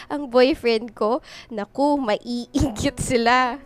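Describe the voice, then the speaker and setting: A woman playing an affectionate, teasing young college girlfriend in a Filipino radio drama, with an expressive, sweet-talking delivery. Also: on the high side, slow. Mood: proud, teasing. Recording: music bed under the voice. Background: background music.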